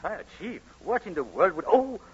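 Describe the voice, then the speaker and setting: An actor playing a suave, evasive newlywed husband who stammers out smooth excuses in 1940s radio comedy style. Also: male voice, high-pitched, very animated, normal speed, exaggerated voice. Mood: flustered. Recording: muffled vintage radio broadcast.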